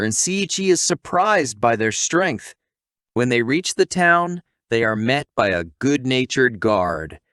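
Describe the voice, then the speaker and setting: Unhurried man narrating, with swooping, lively pitch. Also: dry recording, studio quality. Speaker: anime recap narrator. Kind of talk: scripted story recap narration.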